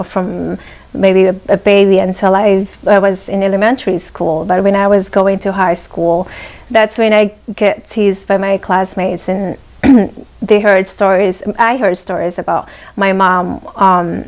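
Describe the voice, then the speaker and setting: A woman, recounting her childhood as an interview guest, hesitant with many pauses and restarts. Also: medium pitch, gravelly, darkish tone. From Philippines. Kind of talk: conversational interview storytelling.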